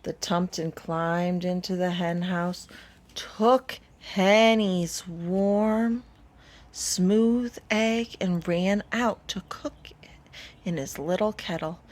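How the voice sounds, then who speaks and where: A woman reading a children's story aloud, expressively, with deliberate dramatic pauses. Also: mid-range voice. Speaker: storybook reader.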